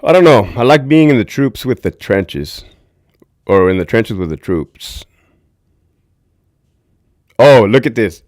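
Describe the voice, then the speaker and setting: A man with a deep voice hosting a candid livestream on a desk mic. His pitch swings widely as he talks, and the sound is dry and close. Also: animated, measured pace, long pauses, darkish tone, no room sound. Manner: candid, profane, talks to chat viewers. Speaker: livestream host, former prison officer. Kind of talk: casual livestream commentary.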